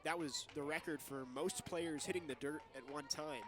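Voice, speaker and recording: A young man doing TV play-by-play commentary of a softball game. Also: fairly high pitch, fairly even intonation, normal speed. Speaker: play-by-play announcer.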